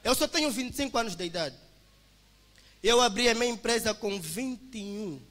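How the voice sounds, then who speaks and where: A young man with an Angolan Portuguese accent speaks in a bright, ringing voice. He gives a forceful motivational testimony to a congregation, calling them brothers. His words carry a long echo from a church hall. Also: very high voice, expressive, moderate pace. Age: 20s. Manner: emphatic, preacher-like, addresses listeners as brothers. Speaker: young business owner giving a testimony.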